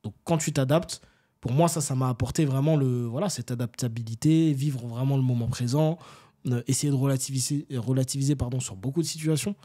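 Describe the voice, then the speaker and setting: A man from France, an interview guest telling stories in casual, animated, slangy talk and often seeking agreement. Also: medium pitch, brisk. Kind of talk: casual conversational storytelling.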